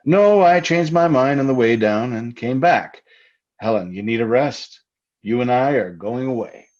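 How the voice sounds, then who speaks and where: Man as solicitous husband, lively and slightly muffled. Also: medium pitch, a little slow, lightly acted. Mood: quietly menacing. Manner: soothing, patronizing, insistent. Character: smooth, solicitous husband with quiet menace. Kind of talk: old-time radio suspense acting. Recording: slightly dull, lo-fi sound.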